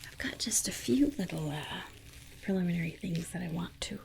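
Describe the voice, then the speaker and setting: A woman playing a patronizing yet soothing alien scientist in an ASMR roleplay, softly reassuring her human subject. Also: lowish voice, lively intonation, moderate pace, vocal fry. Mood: gently instructing. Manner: patronizing, soothing, hesitant murmured repetitions. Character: condescending, sweetly reassuring female alien scientist examining a human. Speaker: ASMR performer.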